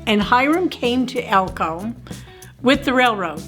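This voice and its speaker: Slow-spoken American woman historian. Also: fairly high pitch, expressive, a bit bright, soft background music. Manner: measured, unhurried storyteller. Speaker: museum director and local historian. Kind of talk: storytelling in an interview.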